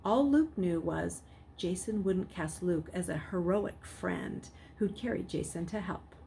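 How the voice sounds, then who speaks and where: A woman narrating a novel aloud in an expressive, dramatic storybook style. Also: fairly low pitch, unhurried. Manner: dramatic, acts out the characters. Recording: clearly noisy background.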